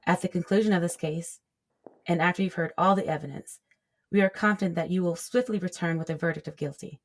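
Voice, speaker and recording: An actress plays a confident state prosecutor in a courtroom audio drama. Her voice is a bit low for a woman, and her delivery is formal and assertive. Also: steady tone, fast, natural voice.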